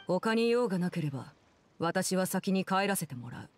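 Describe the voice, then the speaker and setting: An actress playing an aloof, commanding woman in a Japanese game, speaking in a composed, measured, formal way. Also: lowish voice, sing-song, dry recording. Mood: cool, dismissive. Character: cool, commanding woman, aloof diplomat and stern guardian. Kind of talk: Japanese anime-game voice acting.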